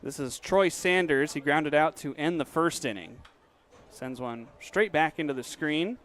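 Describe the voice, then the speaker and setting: A young man calling a baseball game from a stadium booth, his voice sitting a touch higher than most men's. He moves briskly through pitches and outs, then slips into relaxed interview questions between plays. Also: slight background noise. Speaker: play-by-play radio broadcaster and host. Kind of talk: baseball radio play-by-play mixed with interview questions.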